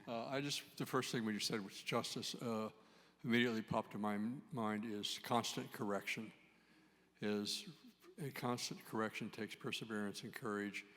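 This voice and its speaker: A man's voice: fairly low pitch, fairly even intonation, normal speed, fairly bright tone, in a large hall. A man commenting spontaneously from the audience, reflective, making short emphatic statements. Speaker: audience member.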